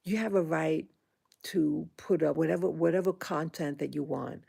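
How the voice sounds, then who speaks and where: A woman in her sixties talking into her own vlog, halting and indignant, with frequent restarts and fillers. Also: deep voice, creaky, mellow, slightly rough. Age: 60s. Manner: aggrieved, indignant. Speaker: vlogger. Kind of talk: personal monologue vlog.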